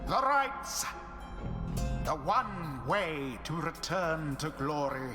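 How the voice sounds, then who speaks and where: A scornful judge with slow, grand words. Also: male voice, a little high, very animated, heavily acted. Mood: solemn. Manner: solemn, disdainful, slow and grand. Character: stern, scornful male judge of ancient rites. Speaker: game narrator. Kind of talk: video game voice acting. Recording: echoey hall with loud music. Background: game music.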